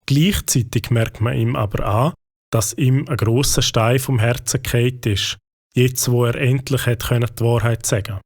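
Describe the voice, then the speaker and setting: Brief: Swiss German male storyteller, calm and even, mid pitch. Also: moderate pace. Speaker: narrator. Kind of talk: storybook narration.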